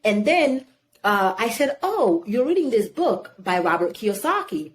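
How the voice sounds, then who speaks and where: Animated woman telling a story. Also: a little high, a little slow. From Haiti. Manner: animated storytelling, many "you know" fillers. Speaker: guest. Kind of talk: casual interview talk.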